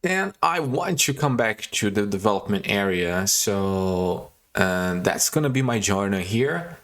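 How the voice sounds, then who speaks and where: Young Brazilian-accented male tutor with a lowish voice, relaxed and hesitant, lots of ums while explaining. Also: unhurried. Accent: Brazilian Portuguese. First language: Portuguese. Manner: relaxed, hesitant with frequent fillers and restarts. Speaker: tutorial host. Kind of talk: casual screen-recorded coding tutorial.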